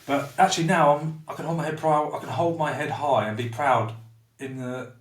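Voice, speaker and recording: A man's voice: fairly high pitch, steady tone, normal speed. Man in his thirties from Portsmouth. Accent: Portsmouth English. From England. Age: 30s. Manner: open and reflective, with frequent restarts. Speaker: podcast guest. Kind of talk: candid personal conversation. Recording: roomy, somewhat distant mic.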